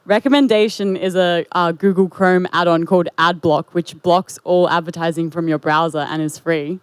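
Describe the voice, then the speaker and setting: Audience questioner, smooth clear voice, casual and filler-heavy. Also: medium pitch, fairly even intonation, normal speed, dry recording. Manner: casual, uses "like" a lot. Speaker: audience member.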